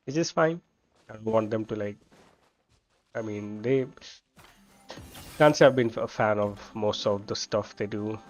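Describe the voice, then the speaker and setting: A man giving casual, low-key live gameplay commentary as a streamer, rambling along as he talks. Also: dull audio. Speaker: game streamer. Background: game music.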